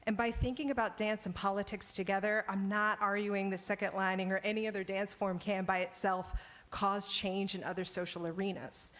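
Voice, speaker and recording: A woman author giving a measured academic talk on a stage, then hosting a panel. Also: lowish voice. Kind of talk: public lecture then moderating a panel. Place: event stage with live audience. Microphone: handheld stage microphone.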